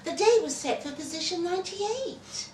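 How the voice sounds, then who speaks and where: A woman giving an expressive live reading of a story, with wry delivery and dramatic pauses. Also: very high-pitched. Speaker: reader of a short story at a festival. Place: live festival reading in an echoey room. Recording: echoey venue, far from mic, noisy.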